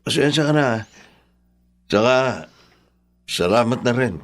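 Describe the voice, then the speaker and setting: A man's voice: medium pitch, expressive, measured pace, close to an everyday voice. A man playing a defensive, stubborn jailed father, emphatic and aggrieved, in Filipino-accented Tagalog radio drama. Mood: resigned, bitter. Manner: indignant, emphatic.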